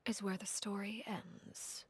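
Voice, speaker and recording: An actress playing a cold, controlled head of a crime family in a video game, speaking in a measured, formal and calmly menacing way. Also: female voice, mid-range voice, whispered, natural voice. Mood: calm, explanatory. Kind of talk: dramatic video game dialogue.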